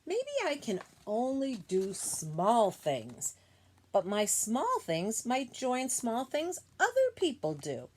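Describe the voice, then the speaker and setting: A woman's voice: a little high, big pitch swings, slow pace, darkish tone, slight background noise. A woman reading a picture book aloud to young children, explaining the illustrations in simple, informal phrases.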